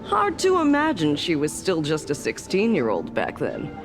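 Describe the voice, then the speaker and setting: A woman's voice: deep voice, wildly swooping pitch, normal speed, exaggerated voice. A woman voicing a sardonic, exasperated female doctor in a game, speaking in a sarcastic, weary way. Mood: recalling. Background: game music.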